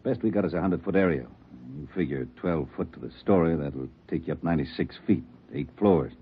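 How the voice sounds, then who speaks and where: An actor playing a gruff, practical fire chief in a 1940s radio drama, plainspoken and assured. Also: male voice, sits low, fairly quick. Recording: tinny old radio recording.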